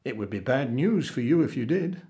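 A man's voice: mid-range voice, big pitch swings, normal speed, warm tone, close to an everyday voice. Male storyteller as fat ship's cook, kindly, easygoing, explanatory, storybook tale. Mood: cautioning.

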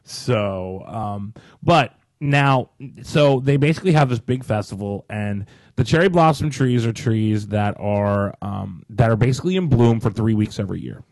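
A man from New Jersey, co-hosting a casual podcast chat, telling a story in a chatty, halting way. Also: on the low side, a little mellow, no room sound. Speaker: podcast co-host.

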